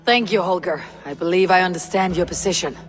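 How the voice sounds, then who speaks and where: Man acting as stern Viking judge, measured, authoritative, terse, video game drama, music behind. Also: high voice, lightly acted. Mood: firm. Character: stern, fair-minded Viking leader judging disputes. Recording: long hall reverb.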